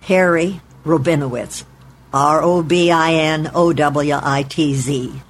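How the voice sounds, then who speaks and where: Woman in her eighties, from Oklahoma, deliberate recollection, faint background hiss. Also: deep voice, steady tone. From Oklahoma, United States. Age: 80s. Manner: measured, deliberate, spells out names letter by letter. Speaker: oral history interviewee. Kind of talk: recorded oral history recollection. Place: her apartment in a retirement home.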